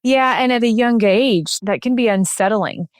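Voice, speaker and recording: A woman's voice: on the high side, moderate pace, no echo. Female podcast host, conversational and encouraging; dry, close recording. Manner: conversational, affirming, rephrases questions. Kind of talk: interview questions.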